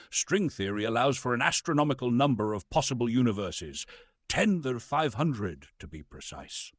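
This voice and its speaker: A man playing a pompous, waspish Shakespearean actor delivering a theatrical comic lecture with arch, dramatic pauses. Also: mid-range voice, fairly bright tone, husky, no room sound, studio quality. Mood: arch, musing.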